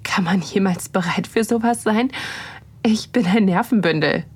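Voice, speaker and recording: An actress playing a nervous, curious wife who narrates an intimate erotic audio drama in a soft, often whispering voice. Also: female voice, mid-range voice, normal speed, husky. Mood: nervous, expectant.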